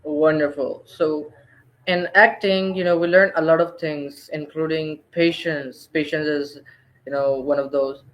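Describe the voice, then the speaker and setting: A man hosting an interview, asking questions in a halting, affirming way over dull lo-fi audio. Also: on the high side, fairly even intonation. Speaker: podcast host.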